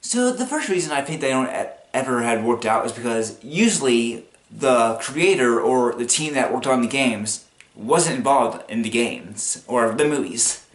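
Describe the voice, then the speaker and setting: A man giving casual, unscripted commentary in a rambling, halting way, as the host of an opinion video. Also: mid-range voice, animated. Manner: rambling, halting, chuckles as he talks. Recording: echoey, roomy sound.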